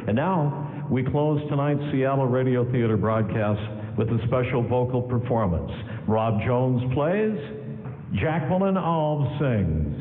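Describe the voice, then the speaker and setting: A man playing a folksy 1940s radio pitchman, announcing as host of a live stage broadcast in the style of old sponsor messages. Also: expressive, slow pace, faint music behind. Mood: gracious, formal. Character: folksy wartime radio pitchman urging thrift. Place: theater stage in a town hall. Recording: tinny echoey hall live radio broadcast.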